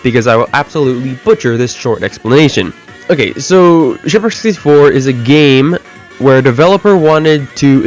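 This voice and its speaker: A man giving casual video commentary in an exasperated way, with rhetorical questions and sarcastic, self-deprecating asides. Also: mid-range voice. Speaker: video essayist. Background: background music.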